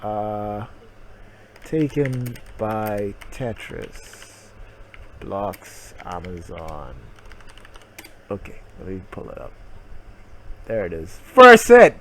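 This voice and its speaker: A man's voice: fairly low pitch, sing-song, drawn-out words, long pauses, a bit bright. A man doing a mocking dramatic reading with asides, sarcastic, profane and exclamatory. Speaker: streamer reading aloud.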